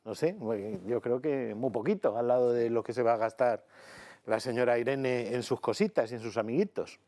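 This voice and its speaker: A man's voice: mid-range voice, normal speed, mellow. A man from Madrid on a debate panel, talking in a sarcastic, mocking way. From Madrid, Spain.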